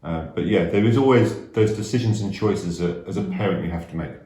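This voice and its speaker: Deep-voiced relaxed man, echoey. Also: a little fast. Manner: relaxed, agreeable, short replies. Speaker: co-host. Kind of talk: casual chat. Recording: echoey room.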